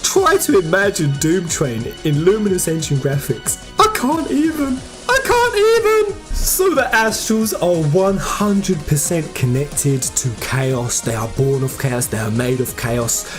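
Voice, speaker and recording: An emphatic, informal host giving excited fan theory commentary on a video game. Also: mid-range voice, a little slow, hardly any pauses, over music.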